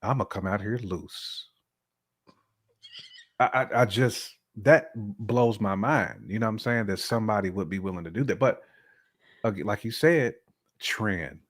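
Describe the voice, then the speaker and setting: A man's voice: fairly low pitch, a little slow, pauses often. An American man in casual podcast conversation, talking in a colloquial, slangy way with emphatic repetition.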